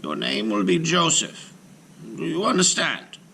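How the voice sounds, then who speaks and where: A man voicing an elderly male rabbi, solemn and commanding, his lines raised high and urgent, at times whispered. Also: huge pitch swings, measured pace, vocal fry, bright, forceful tone, a little hiss or hum, exaggerated voice.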